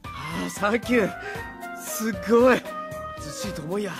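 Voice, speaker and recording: Naive young man, lightly high voice, polite, boyish, soft-spoken, radio drama, music behind. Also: animated, unhurried, gravelly, exaggerated voice. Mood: curious, awed. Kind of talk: radio drama acting with narration.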